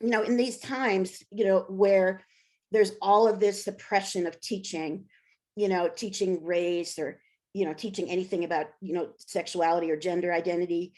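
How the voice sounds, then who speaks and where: A woman's voice: on the low side, fairly even intonation, normal speed, warm tone. A woman being interviewed as an author and scholar, talking casually and candidly with self-deprecating humor.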